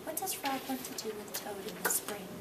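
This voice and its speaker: A woman's voice: on the high side, animated, fairly quick. A woman teacher leading an interactive read-aloud with young children, prompting them with questions and repeating herself. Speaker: early-grade teacher. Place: classroom with children. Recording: echoey room, distant mic, noisy.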